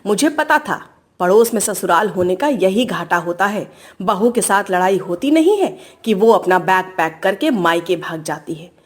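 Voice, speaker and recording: A woman's voice: medium pitch, animated, moderate pace, pitched below the performer's own voice, close to an everyday voice. A woman playing a bossy, cantankerous older mother-in-law who scolds and grumbles in a family story. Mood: grumbling.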